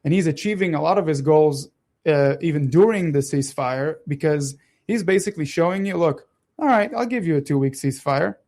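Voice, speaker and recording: A man in his thirties with a soft Israeli-Italian accent, a native Hebrew speaker, talking casually and animatedly as a radio co-host. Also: a little high. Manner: animated, talks fast with many restarts and laughs. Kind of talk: casual political chat.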